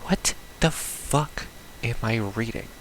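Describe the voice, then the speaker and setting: A man's voice: mid-range voice, expressive, slow, slight background noise, rough recording. A man giving casual, baffled, sweary commentary as he plays through a visual novel. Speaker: gamer playing a visual novel. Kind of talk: casual playthrough commentary, reading game text aloud.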